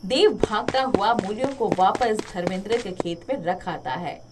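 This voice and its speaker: A woman's voice: medium pitch, moderate pace, background noise. A woman narrating a scripted moral story as a storyteller.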